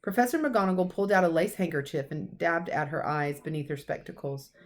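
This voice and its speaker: A woman's voice: fairly low pitch, a little fast. A woman reading a children's story aloud expressively, as a teacher.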